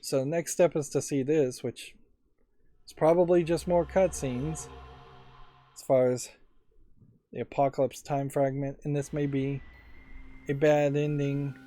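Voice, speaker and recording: A man's voice: a little high, level tone, a little slow, pauses often, warm tone, faint music behind. A man hosting a let's play, giving casual game commentary in a musing, analytical way.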